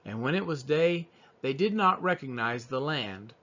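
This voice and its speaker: A man reading scripture aloud as a Bible reader, with measured, expressive phrasing. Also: medium pitch. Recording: dull, lo-fi sound.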